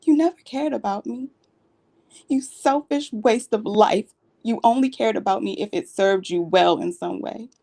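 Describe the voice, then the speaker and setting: A woman's voice: fairly high pitch, normal speed, vocal fry, warm tone. A woman performing a dramatic monologue as a hurt, angry grown daughter, accusing and emphatic, walking away from a neglectful parent. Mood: angry, final.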